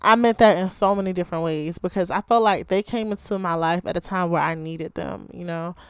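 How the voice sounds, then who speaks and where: A young woman in her twenties from Baltimore hosts her own podcast, talking casually and chattily with a slightly hoarse voice that is still coming back. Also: on the low side, brisk, no echo. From Baltimore, Maryland, USA. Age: 20s. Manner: chatty, heavy use of "like" and "you know". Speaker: podcast host. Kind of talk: casual solo podcast chat.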